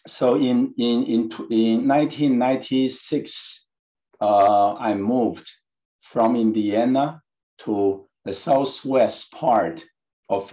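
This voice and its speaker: A man's voice: lowish voice, a little mellow. A man in his late fifties who grew up in Taiwan gives a personal talk over slides as a guest speaker on a video call, stumbling through many restarts and fillers. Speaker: guest speaker, engineering professor. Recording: dull lo-fi video call audio.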